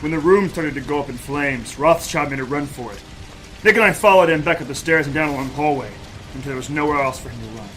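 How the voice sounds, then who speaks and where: An actor playing a hardboiled private eye in a noir audio drama, terse and dramatic. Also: male voice, on the high side, fast, noisy background, close to an everyday voice. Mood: narrating. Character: hardboiled male private eye narrating his case. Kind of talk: scripted noir narration and acting.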